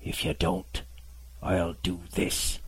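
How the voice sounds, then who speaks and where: A man voicing a cruel blind male pirate beggar, shifting from a high whining plea to hissed, whispered threats. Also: monotone, slow pace, exaggerated voice. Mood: menacing whisper. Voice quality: very husky, breathy. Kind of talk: audiobook character voice.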